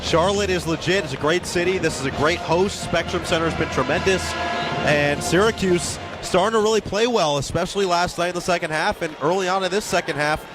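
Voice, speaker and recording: A man's voice: sits high, a little fast. A man giving live basketball analysis as colour commentator, with emphatic, punchy phrasing.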